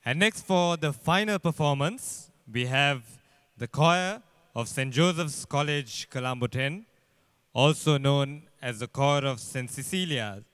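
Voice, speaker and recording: A man's voice: medium pitch, measured pace, a bit bright, smooth, no echo. A young man with a Sri Lankan accent formally announcing a concert as master of ceremonies, speaking into a handheld microphone. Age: young adult. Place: large church, on stage.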